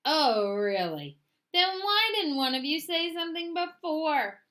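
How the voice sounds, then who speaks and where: A woman's voice: high voice, sing-song, unhurried, bright, forceful tone, pitched above the performer's own voice, heavily acted. A woman voicing a sly, vain, bossy male fox in a storybook, with a pompous, condescending, showy manner. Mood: grumpy, huffy. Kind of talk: animated storybook read-aloud.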